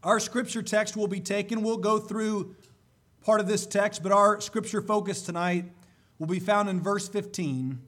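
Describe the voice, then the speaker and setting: A man preaching a sermon and reading scripture, slightly hoarse, with a deliberate, emphatic delivery. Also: sits high, fairly bright tone.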